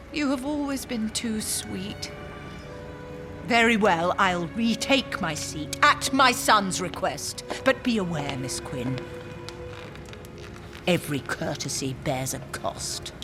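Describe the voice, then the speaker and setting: Aristocratic older mother, haughty, icy formality, lively swooping pitch, unhurried, audio drama. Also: over music. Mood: condescending, icy. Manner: imperious, cutting, formal.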